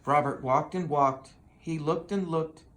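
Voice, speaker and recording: A person reading a children's story aloud in an expressive, dramatic manner. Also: medium pitch, measured pace, a little hiss or hum. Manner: expressive, with dramatic sound words. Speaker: storybook reader.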